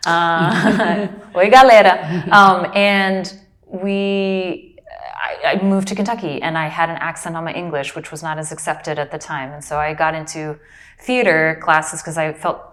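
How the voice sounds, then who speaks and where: Low-voiced woman, reflective storyteller. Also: steady tone, measured pace, fairly bright tone. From Brazil. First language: Portuguese. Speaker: actor and audiobook narrator. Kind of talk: casual interview.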